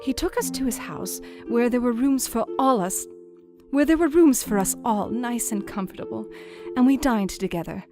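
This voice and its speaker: A woman playing a chatty, affectionate young wife reading out her period letter in an audio drama, expressive and gently formal. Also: fairly high pitch, moderate pace, lightly acted. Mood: fond, contented. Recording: instrumental music under the voice.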